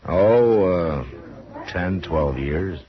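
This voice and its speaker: Deep male voice, steady western marshal, expressive swings, frank and halting, old radio drama. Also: full character voice. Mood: reflective. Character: steady frontier marshal, frank but loyal friend. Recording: noisy muffled old radio recording.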